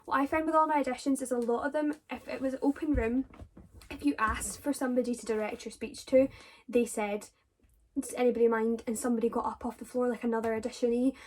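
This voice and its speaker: A young woman with a Scottish accent, her voice sitting a little high. She talks casually through viewers' questions, rambling with many fillers and restarts. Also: steady tone, fast talker. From Scotland. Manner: chatty, with frequent fillers and self-corrections. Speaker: drama student vlogger.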